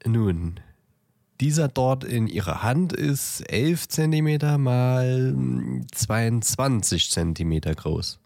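A man's voice: medium pitch, animated, slow pace, darkish tone, no room sound, studio quality. A man playing an obliging, flustered post office clerk who stammers hesitantly in bemusement in an amateur audio drama.

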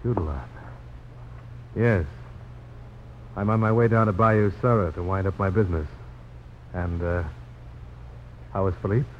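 Low-voiced earnest frontier hero. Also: male voice, measured pace, pauses often, slight background noise. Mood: easy. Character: polite, steady frontier adventurer, a famous knife duelist in love. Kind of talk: 1950s radio drama acting. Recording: dull old radio broadcast recording.

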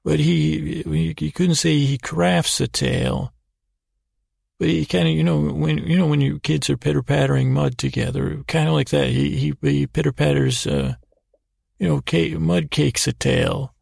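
Gravelly, creaky man rambling at bedtime. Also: on the high side, darkish tone, dry recording, studio quality. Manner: meandering, halting, repeats words and trails off. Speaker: podcast host. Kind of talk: rambling bedtime monologue to help listeners sleep.

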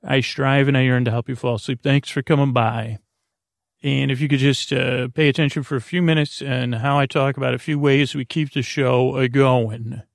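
A man hosting a sleep podcast, talking in a meandering, halting bedtime monologue with a creaky, gravelly voice that trails off mid-sentence. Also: mid-range voice, fairly even intonation, no room sound, studio quality. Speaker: podcast host. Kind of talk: rambling bedtime monologue to help listeners sleep.

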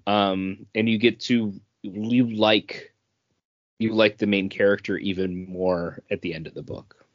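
A man's voice: on the low side, fairly even intonation, unhurried, dry recording. Man chatting casually on a dull, dry recording. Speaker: podcast co-host. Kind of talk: casual comic book review chat.

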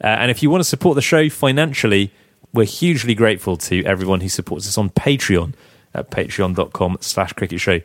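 A young man hosting a casual podcast, his voice croaky, chatting, teasing and laughing often. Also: fairly low pitch, fairly quick, few pauses, no echo. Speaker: podcast host. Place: flat.

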